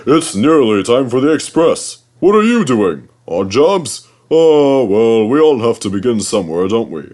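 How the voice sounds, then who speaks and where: A man's voice: medium pitch, big pitch swings, measured pace, a little mellow. A man voicing a big, proud male express steam engine in a storybook reading, pompous and condescending.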